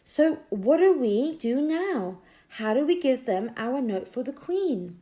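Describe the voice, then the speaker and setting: A woman voicing a curious, excited young schoolboy in a children's audiobook, eager and full of questions. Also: sits high, slow, pitched above the performer's own voice, full character voice.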